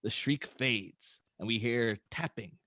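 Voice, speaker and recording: A man's voice: mid-range voice, slow, pushed and bright, dry recording. A man reading out stage directions and scene headings as narrator in a script table read.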